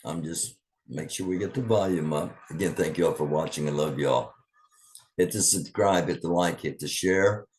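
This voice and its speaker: A man's voice: rumbling low, normal speed, a little mellow. A man hosting an online news commentary show in a casual, rambling way, full of fillers and restarts. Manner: rambling, thinking aloud with many fillers.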